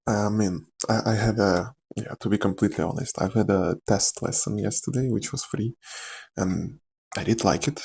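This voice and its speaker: A young man with a Slavic accent talks softly and candidly in a meandering ASMR chat, full of fillers and small laughs. His voice sits fairly low, with a heavy gravelly fry running through it and a husky, breathy edge. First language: Czech or another Slavic language. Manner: soft-spoken, rambling and candid, with frequent fillers and quiet laughs. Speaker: actor.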